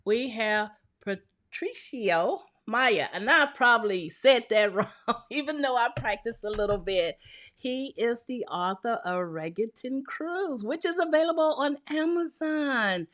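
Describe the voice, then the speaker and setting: A woman's voice: a little high, lively intonation, unhurried, a bit bright. A woman hosting a podcast interview, friendly, with read-through introductions and questions. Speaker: podcast host.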